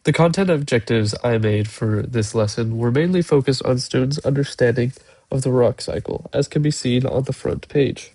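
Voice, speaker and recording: A man's voice: mid-range voice, moderate pace, dark tone, breathy edge, no echo. A young man with a stuffy, congested nose from a cold, hesitantly walking through a lesson he built, with many "uh" fillers, recorded as a voice-over on a computer mic. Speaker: education student presenting a lesson. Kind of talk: screen-recorded lesson walkthrough. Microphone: computer mic, voice-over on screen recording.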